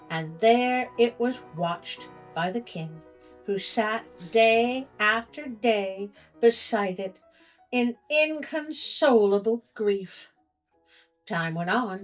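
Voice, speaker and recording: Slow-paced woman reading a storybook aloud. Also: medium pitch, poor audio. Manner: theatrical, tongue-in-cheek. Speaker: storyteller, show host. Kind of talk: storybook reading with comic asides.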